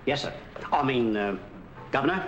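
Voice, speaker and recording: An actor playing a cheerful working-class middle-aged cab driver in a 1940s film, folksy and plain-spoken. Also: male voice, mid-range voice, lively intonation, normal speed, lo-fi sound, close to an everyday voice. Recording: hissy 1940s film soundtrack.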